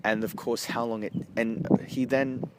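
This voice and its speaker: A man's voice: medium pitch, little pitch movement. Hesitant man reviewing, noisy street behind. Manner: hesitant, halting, often rephrasing. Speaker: amateur film reviewer. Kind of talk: casual rambling movie review. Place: outdoors, walking along a street. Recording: noisy outdoor street with traffic. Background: street and vehicle noise.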